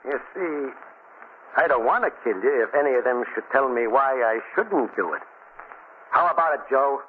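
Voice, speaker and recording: An actor playing a cold, vengeful gangster boss in 1940s radio style, tough and mocking. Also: male voice, mid-range voice, expressive, normal speed, close to an everyday voice. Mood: mocking menace. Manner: tough, mocking underworld slang. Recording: tinny, noisy 1940s radio recording.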